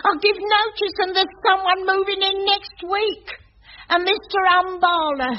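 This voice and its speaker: Radio sitcom: a slow, high, plaintive old cleaner, dull old recording. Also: female voice, fairly even intonation. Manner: plaintive, self-pitying, slow. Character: weepy older female cleaner facing eviction. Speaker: sitcom lead. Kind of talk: 1980s radio sitcom acting.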